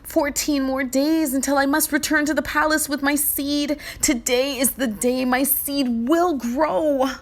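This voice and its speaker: A woman acts out an eager young boy from a storybook. The voice is high and earnest, full of excitable hope, like a poor child with big dreams. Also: measured pace, hardly any pauses. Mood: hopeful.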